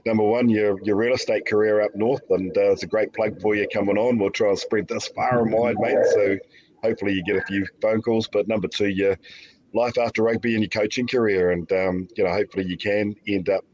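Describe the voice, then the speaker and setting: A man's voice: lowish voice, fairly even intonation, a little fast, a little mellow. A man, hosting a podcast in a matey, blokey way with hesitations. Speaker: podcast host. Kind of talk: casual podcast chat. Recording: dull lo-fi sound.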